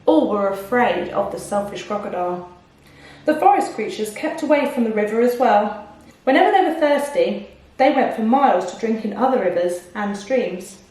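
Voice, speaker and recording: A woman's voice: on the high side, animated, moderate pace, a little mellow. A woman reading a children's story like a teacher, expressively, stopping to put questions to young listeners. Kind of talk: children's storybook narration with asides. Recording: very echoey room, distant microphone.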